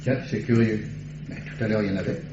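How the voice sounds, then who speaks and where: An actor playing a polite, persistent private investigator with a husky voice, in an old radio drama. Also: male voice, lowish voice, fairly even intonation, quick pace, background noise, lightly acted. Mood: puzzled. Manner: courteous, eager. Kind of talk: 1950s radio drama acting. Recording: dull old radio broadcast.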